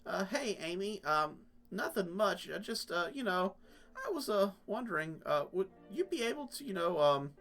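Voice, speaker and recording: Man doing a stammering, high-voiced nervous youth. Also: very animated, moderate pace, fairly bright tone, faint music behind, heavily acted. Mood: shy, hesitant. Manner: stammering, hesitant. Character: nervous, awkward, smitten young man.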